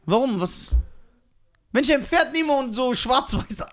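A man's voice: very high voice, lively intonation, a little slow, fairly bright tone. A man from Iran co-hosting a casual podcast chat, reading entries from a list aloud with mock drama. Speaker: podcast co-host.

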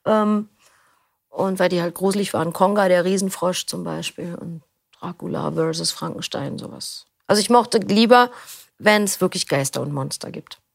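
Interview guest: middle-aged woman, gravelly, loose and chatty, dry studio mic. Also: on the low side, normal speed, no room sound. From Berlin, Germany. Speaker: interview guest, audiobook reader. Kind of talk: casual chat. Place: recording studio.

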